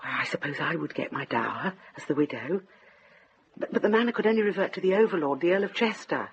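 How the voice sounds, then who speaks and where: An actress playing a gentle, anxious older widow in a radio drama, speaking earnestly and tenderly. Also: female voice, deep voice, animated, fast, slightly rough, lo-fi sound, full character voice.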